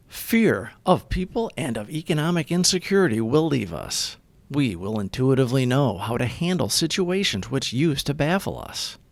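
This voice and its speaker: A man giving a scripted reading of devotional recovery reflections in a measured, earnest way. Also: mid-range voice, creaky, dry recording.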